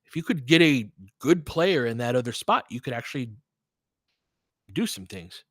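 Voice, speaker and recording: A man's voice: mid-range voice, very animated, normal speed, no room sound, clean studio sound. A man with an American accent giving casual live basketball commentary, thinking aloud and chatting conversationally with listeners. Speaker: host of fan play-by-play livestream.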